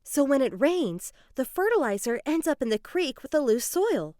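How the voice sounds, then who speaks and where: Lady reading a kids book aloud, highish voice, really animated, acts out the dialogue, super dry sound. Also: moderate pace, no echo. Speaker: children's book reader. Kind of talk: dramatized read-aloud of a children's educational story.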